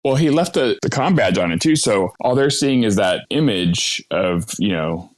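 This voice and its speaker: A man's voice: on the low side, expressive, moderate pace. A man chatting casually on a fan podcast as one of the co-hosts. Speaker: podcast co-host. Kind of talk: casual fan discussion of a TV episode.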